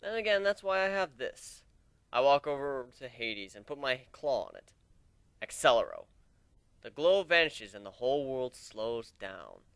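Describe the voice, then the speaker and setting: A man giving a theatrical, expressive first-person dramatic reading of a story. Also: mid-range voice, normal speed, clear, resonant tone, no echo. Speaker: amateur reader of fan fiction.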